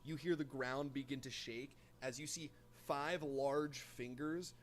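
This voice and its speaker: A man narrating a live tabletop game as game master, improvising in a halting way as he searches for words. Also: mid-range voice, steady tone.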